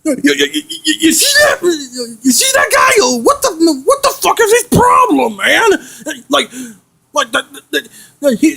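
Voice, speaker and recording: A man's voice: very high-pitched, gravelly, bright, forceful tone, full character voice. A man voicing an exasperated, short-tempered boss scolding a henchman in a homemade skit, ranting with stammered repetitions. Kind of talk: amateur improvised skit.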